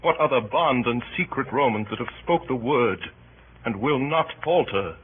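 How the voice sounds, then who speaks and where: An actor playing a grave, idealistic Roman conspirator in a vintage radio Shakespeare production, speaking in measured oratory. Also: male voice, mid-range voice, expressive, lightly acted. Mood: fervent, resolute. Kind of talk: 1930s-40s radio Shakespeare acting. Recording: noisy, narrow-band old radio recording.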